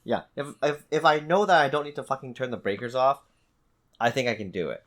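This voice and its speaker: Sweary man with a lively, swooping delivery. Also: mid-range voice, brisk. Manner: sweary, blunt. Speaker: gaming podcast co-host. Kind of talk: casual banter among friends.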